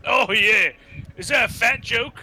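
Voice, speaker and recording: A man's voice: extremely high pitch, a little slow, pushed and bright. A man bantering casually and brashly as a player in a tabletop fantasy roleplaying game. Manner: brash, jokey. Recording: music behind.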